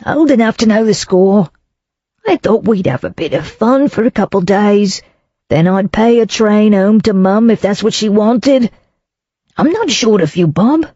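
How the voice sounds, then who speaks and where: A reader voicing a smarmy Cockney salesman in his thirties, nervous and wheedling, pushing the pitch up. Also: expressive, measured pace, mellow, no echo. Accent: Cockney overlaid with refinement. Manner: wheedling, panicky, raised pitch. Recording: dull lo-fi, dry.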